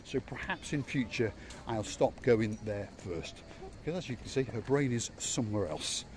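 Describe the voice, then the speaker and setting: A man's voice: mid-range voice, animated, moderate pace. A man hosting a casual walking-tour vlog, chatty and playful, teasing his companion as he films outdoors. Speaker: vlog host. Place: outdoor holiday resort. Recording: faint outdoor background noise.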